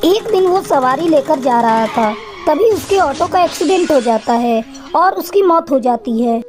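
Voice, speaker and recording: A woman with a high, girlish-pitched voice narrates a children's story from a script. Music plays softly behind her.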